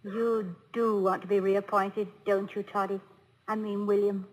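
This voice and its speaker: An actress playing a poised, playful college president's wife in a 1950s radio comedy-drama, gracious and briskly instructive. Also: female voice, mid-range voice, flat delivery, darkish tone, natural voice. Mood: affectionate teasing. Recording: noisy old radio broadcast recording.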